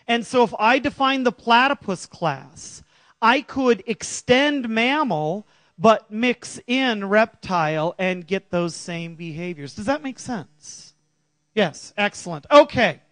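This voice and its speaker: A middle-aged man with a big, loud voice presents to a conference audience from a large auditorium stage. His voice sits high and lively, and he keeps turning to the listeners with quick questions. The handheld mic sounds close and dry. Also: no echo. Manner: animated, jokey, asks the audience questions. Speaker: conference speaker. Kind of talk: tech conference talk. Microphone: handheld microphone.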